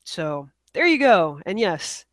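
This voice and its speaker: A woman's voice: on the low side, big pitch swings, takes it slowly. A woman chats casually as a podcast co-host, her nose stuffed up from a cold. She sounds apologetic and self-deprecating and laughs at herself often. The sound is dry and close, with no room echo. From United States. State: congested from a cold. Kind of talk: casual chat.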